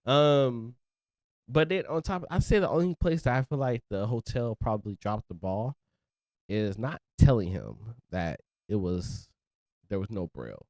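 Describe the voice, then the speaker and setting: A young man co-hosting a podcast, trading jokes and teasing his friend as they talk through news stories. His voice sits at a middle pitch and he laughs often. The recording is dry and close, with almost no room sound. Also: lively intonation, a little slow, darkish tone, dry recording. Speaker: podcast co-host. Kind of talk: casual banter and news discussion.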